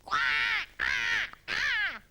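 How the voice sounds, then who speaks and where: Screechy, excitable female parrot. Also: very high-pitched, unhurried, very noisy, pitched above the performer's own voice, full character voice. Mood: screeching. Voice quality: screechy, squawking. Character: excitable, cheerful, cackling green and yellow female parrot.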